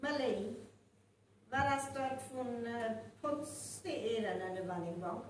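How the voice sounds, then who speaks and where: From a distant mic in a very echoey hall comes a woman playing an inquisitive village woman in a live radio play. She speaks slowly and deliberately, with a North Frisian accent, working through her suspicions. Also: a little high, a little hiss or hum. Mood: insistent. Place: live stage performance venue.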